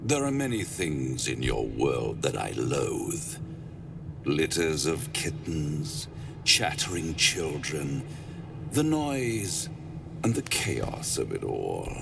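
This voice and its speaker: A man's voice: extremely low pitch, big pitch swings, takes it slowly, vocal fry, recorded from a distance, noisy background, heavily acted. A man acting a haughty, menacing devil lord in a video game, with theatrical, grandiose delivery and precise diction. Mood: cold disdain. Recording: game audio with music behind.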